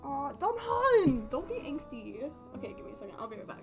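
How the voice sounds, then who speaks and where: Casting: woman game streamer, higher voice, playful rambling chat, faint music underneath. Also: very animated, fairly quick. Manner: rambling, playful, breaks off mid-thought. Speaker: livestream host. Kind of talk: casual gaming stream chat.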